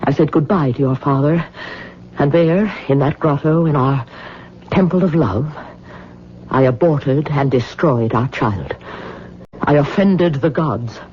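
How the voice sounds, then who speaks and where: An actress playing a proud, mystical older woman in an old-time radio drama, speaking gravely and haltingly with theatrical weight. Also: low voice. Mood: solemn. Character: heavy old Hawaiian woman, proud, mystical, solemn former lover. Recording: muffled, noisy old radio recording.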